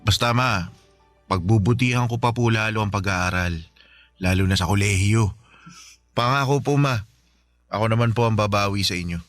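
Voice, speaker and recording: An actor playing a polite, devoted teenage son in a Filipino radio drama, speaking respectfully, earnestly and with some hesitation. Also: male voice, lowish voice, moderate pace, pauses often, no echo, clean studio sound, lightly acted. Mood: earnest, grateful. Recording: music bed under the voice.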